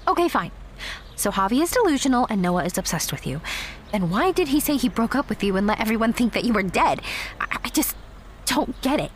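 A woman's voice: on the high side, sing-song, a little fast, slight background noise. A woman playing a wry young theater girl. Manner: sarcastic, guarded. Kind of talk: audio drama acting.

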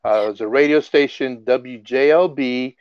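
A middle-aged man from the Philippines, a guest in a casual interview, telling stories with lively excitement. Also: medium pitch, moderate pace, no room sound, dull audio. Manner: animated storyteller, gets excited and laughs while telling stories. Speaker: guest, music artist.

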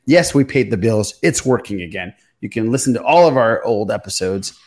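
A man's voice: fairly low pitch, lively intonation, normal speed, a little mellow. A man hosting a pop culture podcast, chatting informally and swearing now and then. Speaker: podcast host.

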